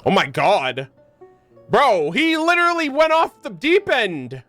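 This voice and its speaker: A man's voice: very high-pitched, wildly swooping pitch, unhurried, a bit bright, no room sound. A man streaming a game, reacting and musing aloud in casual, slangy speech with short interjections. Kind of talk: casual live reaction and commentary. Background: game music.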